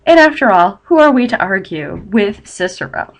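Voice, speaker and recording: A woman's voice: mid-range voice, expressive, moderate pace. Young woman lecturer, stuffed up nose from a cold, kinda scratchy, lots of ums. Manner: explanatory, with frequent ums. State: head cold, nasal and scratchy. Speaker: college English instructor. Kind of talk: online lecture.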